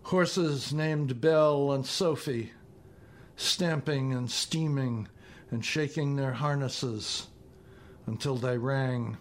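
A man in his seventies with an American accent, reading his own poetry and prose in a deliberate, measured way. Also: mid-range voice. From northeastern United States. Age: 70s. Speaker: poet reading own work.

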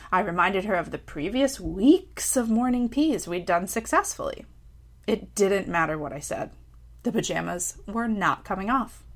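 A woman in her thirties with an American accent, a writer reading her own personal essay aloud in a measured, expressive storytelling way. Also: mid-range voice.

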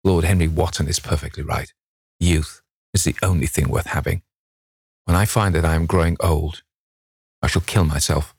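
A man's voice: rumbling low, steady tone, moderate pace, long pauses, a little mellow, husky, no echo, heavily acted. A man voicing a vain, petulant young man in an impassioned, dramatic reading of a novel. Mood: bitter, hurt. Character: petulant, anguished young man, vain about his beauty.

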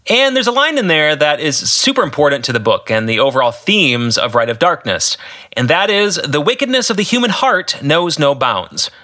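An American man with a bright, fairly high voice talks as a podcast host. He walks through a story with colloquial asides and emphatic phrasing, his pitch swinging in a lively way. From United States. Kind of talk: podcast commentary recapping his own story.

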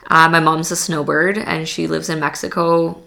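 A woman's voice: low-pitched, flat delivery, moderate pace, creaky, sharp tone. A young woman born in Poland, talking casually as a podcast guest, full of "like" and restarts. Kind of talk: casual interview chat.

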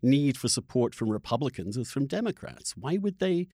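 A man's voice: medium pitch, big pitch swings, moderate pace, mellow, breathy edge, dry recording, studio quality. A man hosting a debate-style interview, challenging his guest in a skeptical, insistent way. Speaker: podcast host and interviewer.

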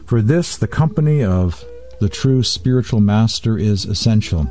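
A man with a fairly low-pitched, soft-edged and relaxed voice presents a spiritual program. He reads and explains devotional texts deliberately, pausing between phrases. Faint music runs underneath his voice. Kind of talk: reading and commenting on spiritual texts.

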